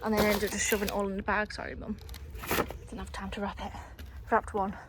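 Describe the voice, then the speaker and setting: Woman, soft Liverpool accent, casual rambling chat, phone recording, street traffic noise. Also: medium pitch, monotone, brisk. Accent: soft Liverpool with Lancashire influence. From Liverpool, England. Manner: rambling, chatty, with frequent fillers and laughs. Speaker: vlogger. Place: town street, then in a parked car.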